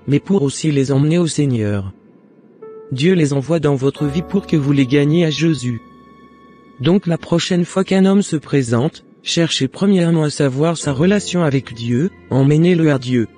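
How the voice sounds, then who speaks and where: A man acting a wise, pious, motherly counsellor in a cartoon drama. The voice is dark and mellow, a little high for a man, and teaches in a calm, measured way over soft music. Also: natural voice. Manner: didactic, measured. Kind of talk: scripted animated drama, preachy counsel.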